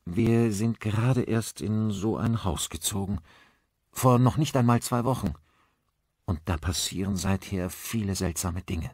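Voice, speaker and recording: A man's voice: lowish voice, measured pace, darkish tone, no room sound, studio quality. A man voicing an uneasy woman in an audiobook, hesitant and searching for words. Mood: confiding.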